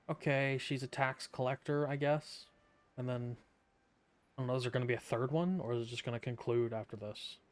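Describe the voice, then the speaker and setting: A man's voice: mid-range voice, a little mellow. A man streaming a video game live, making joking, sarcastic asides. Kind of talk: casual live game commentary. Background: faint game music.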